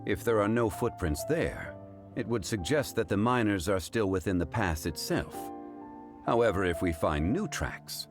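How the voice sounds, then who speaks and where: Brief: very deep, composed, formal man playing an ageless god, music under. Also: lively intonation, lightly acted. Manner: formal, measured, deliberate. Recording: game audio with music behind.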